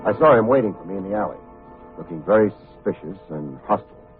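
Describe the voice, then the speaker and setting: An actor playing a cool, wry, hard-boiled private investigator who talks and narrates in old-time radio drama style. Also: male voice, deep voice, expressive. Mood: wry narrating. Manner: wry, unhurried, wisecracking. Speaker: actor playing the lead detective, also narrating. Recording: muffled narrow-band old radio recording, faint music.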